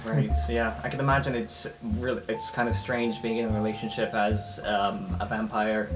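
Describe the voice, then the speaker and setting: A man playing a gentle, awkward shapeshifter student who talks haltingly in improvised tabletop roleplay. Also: lowish voice, level tone, music in the background, lightly acted, low-quality recording. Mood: sympathetic, hesitant. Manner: hesitant, halting.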